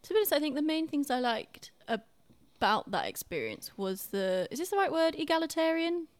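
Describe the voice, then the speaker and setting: A young woman hosts a casual conversation on a close, dry microphone. She talks informally, hedging her points and gently putting herself down as she works through her thoughts. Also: sits high, sing-song, moderate pace, no room sound. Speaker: podcast host, theatre director. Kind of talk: casual chat.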